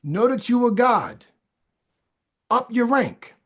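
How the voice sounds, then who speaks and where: High-voiced man preaching fervently. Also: lively intonation, slow pace. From Boston, USA. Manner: stammering restarts, repeats phrases for emphasis. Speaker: podcast guest. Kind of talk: casual talk building into fervent preaching.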